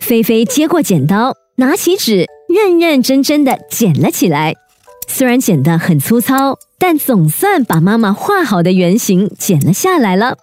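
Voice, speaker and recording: Animated, high-voiced woman telling children's stories. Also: moderate pace. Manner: animated, addresses children directly with questions. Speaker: children's storytelling host. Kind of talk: expressive storytelling for young children. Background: children's music.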